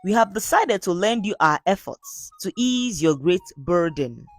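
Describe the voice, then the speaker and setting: A woman's voice: lowish voice, lively intonation, measured pace, faint music behind. A woman voicing a well-meaning, apologetic village helper in a folktale, formal and earnest.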